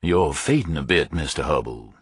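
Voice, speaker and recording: Man voicing a deep, dry-toned detective bluffing as a phone company engineer, falsely polite. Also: lively intonation, normal speed, breathy edge, dry recording, heavily acted. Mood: stalling. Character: uneasy male detective faking a phone-company call.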